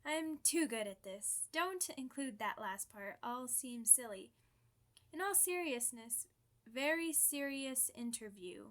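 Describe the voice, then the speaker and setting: A woman's voice: a little high, lively intonation, slow pace, fairly bright tone. A woman voicing a chatty, playful, theatrical old vampire in an ASMR roleplay, full of mock-grand asides. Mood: playfully theatrical.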